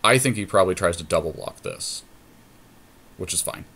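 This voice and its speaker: A man with a fairly low voice talks casually through a card game. He deliberates out loud, weighing each option as a podcast co-host playing along with a partner. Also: animated, creaky. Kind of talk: casual chat, thinking aloud while playing a card game.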